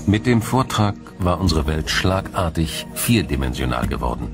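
A man reading scripted documentary narration in a measured, explanatory way. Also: very deep voice, big pitch swings. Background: music.

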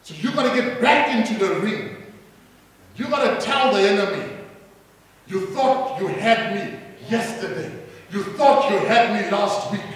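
A middle-aged South African man preaching a sermon from a church stage, rising to loud calls and prompting the congregation to answer. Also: high-pitched, animated, a little slow. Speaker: pastor. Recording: echoey hall from far off.

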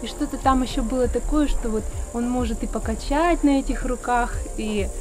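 Woman reminiscing gently outdoors. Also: on the high side, moderate pace. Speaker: interviewee, mother. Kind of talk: casual outdoor interview. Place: outdoors beside a wooden house.

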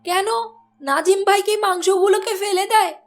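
A woman acts out a sharp-tongued cartoon wife with an extremely high voice. She nags and scolds with heavy sarcasm. Faint music plays behind her. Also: steady tone, normal speed. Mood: bitter, nagging. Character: nagging, sharp-tongued wife of a village butcher. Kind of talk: Bengali cartoon voice acting.

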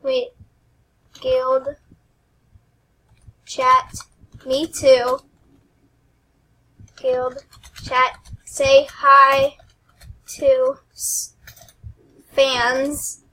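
A young woman with a high, squeaky voice talks over her gameplay at a desktop mic. She rambles, giggles and mocks herself as she goes, often trailing off into quiet asides. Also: extremely slow, pauses often, poor audio. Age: teens. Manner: rambling, giggly, self-deprecating. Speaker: gaming channel host. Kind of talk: casual gameplay commentary.

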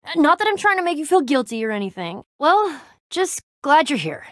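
Casting: tough, loyal young female game warrior; high, very animated voice, sarcastic banter, dry close recording. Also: a little fast, dry recording. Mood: awkward, sincere. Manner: cocky, sarcastic, slangy banter. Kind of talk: animated video game character acting.

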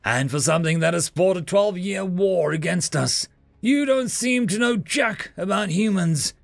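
A man voicing a wounded, defiant male human soldier in armor who rasps and growls his lines. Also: sits high, expressive, a little slow, no room sound, soft background music, pitched above the performer's own voice, heavily acted. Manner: rasping, growling, dry defiance.

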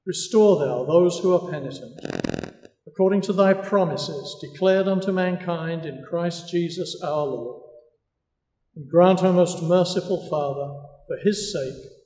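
A man in his seventies, a priest, solemnly and deliberately reading formal liturgical prayers. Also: fairly high pitch, mellow. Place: large stone church, indoors. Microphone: camera a few metres away. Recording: distant mic, echoey church reverb.

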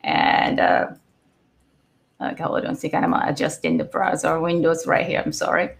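A woman's voice: low-pitched, big pitch swings, normal speed, gravelly. A middle-aged woman from Japan, a native Japanese speaker with a Japanese accent, giving a chatty live-stream tech talk full of fillers and self-corrections over a video call from home. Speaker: guest developer speaker. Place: home room, indoors. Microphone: video call webcam.

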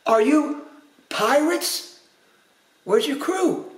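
Storybook girl, read by a man: flustered but bossy, exclaiming, pitched high with lively swings. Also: moderate pace, reverberant. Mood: gasping surprise. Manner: stammering, exclaiming.

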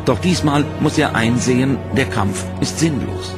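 A man narrating a history documentary in a measured, solemn scripted voice-over. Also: mid-range voice. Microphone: studio voice-over. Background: loud dramatic music.

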